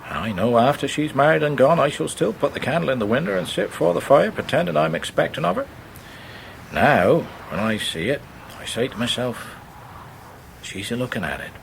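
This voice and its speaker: An actor playing a simple, proud older fisherman uncle with a gravelly, weighty rustic delivery in a radio drama. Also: mid-range voice, animated, slight background noise, poor audio. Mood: fond, wistful. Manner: plain rustic, slow and weighty. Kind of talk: period radio drama acting.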